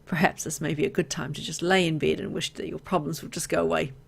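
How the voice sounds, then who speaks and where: A woman with a fairly low voice and plenty of vocal fry narrates a story game. She reads the text aloud like a storyteller, then slips into casual, playful remarks of her own as a player. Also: steady tone, quick pace. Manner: reacts playfully to the story with exclamations and asides. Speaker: video game let's player.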